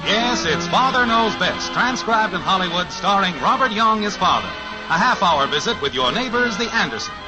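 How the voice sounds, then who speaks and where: A man announcing and narrating a 1950s radio sitcom in a measured, smooth delivery. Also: sits high, animated, low-quality recording. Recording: old radio transcription with music behind. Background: music.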